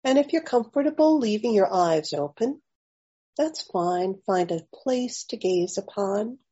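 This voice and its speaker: A woman leading a guided meditation, offering gentle invitations with long pauses between phrases, in slightly dull audio. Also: medium pitch, lively intonation, husky. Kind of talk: slow guided meditation narration.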